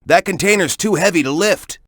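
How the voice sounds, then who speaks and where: A man's voice: high-pitched, fairly quick, gravelly, a bit bright, no room sound, studio quality, exaggerated voice. A man voicing an upbeat, cocky young male sidekick in a video game, giving hints and encouragement in a casual, peppy, instructive way. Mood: helpful, instructive.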